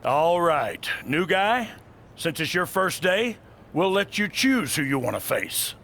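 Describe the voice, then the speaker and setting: A man's voice: a little high, sing-song, slow pace, creaky, fairly bright tone, a little hiss or hum, exaggerated voice. A man playing a blunt, authoritative veteran wrestling coach in a video game cutscene. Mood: stern. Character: gruff, no-nonsense male veteran wrestling coach.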